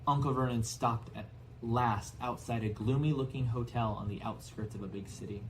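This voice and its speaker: A man reading a storybook aloud as narrator in an expressive style. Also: medium pitch, moderate pace, slight background noise, poor audio. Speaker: audiobook narrator.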